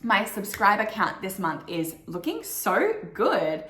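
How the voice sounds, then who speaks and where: A young adult woman with an Australian accent and a croaky morning voice, chatting casually and upbeat through her monthly goals vlog with plenty of "like" fillers. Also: medium pitch, sing-song, takes it slowly, a bit bright, echoey room, soft background music. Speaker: vlogger.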